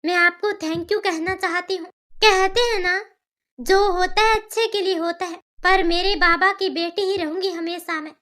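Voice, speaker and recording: Woman doing a young headstrong daughter in a cartoon, earnest, emphatic, really high bright voice. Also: steady tone, unhurried. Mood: apologetic, earnest. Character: caring, headstrong young daughter, emotional and principled.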